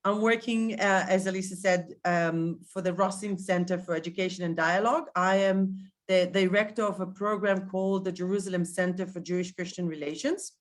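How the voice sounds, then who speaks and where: A woman's voice: on the low side, fairly even intonation, a little fast, hardly any pauses. A woman with an Israeli accent leading a virtual tour over a video call, speaking with many ums and restarts. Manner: hesitant, with frequent ums and restarts. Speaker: tour guide and educator. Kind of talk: live online virtual tour talk.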